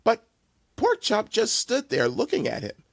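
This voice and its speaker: A man reading a children's storybook aloud in a deliberate, theatrical way for young listeners. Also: high-pitched, wildly swooping pitch, gravelly, dry recording, studio quality. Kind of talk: animated storybook narration.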